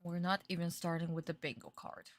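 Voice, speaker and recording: A woman's voice: fairly low pitch, steady tone, brisk, no echo. A young woman streaming a live reaction, talking in an exasperated, sarcastic way with plenty of swearing.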